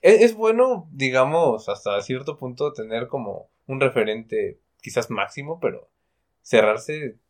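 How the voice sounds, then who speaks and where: Male podcast co-host, average pitch, halting and tentative delivery in casual discussion. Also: expressive.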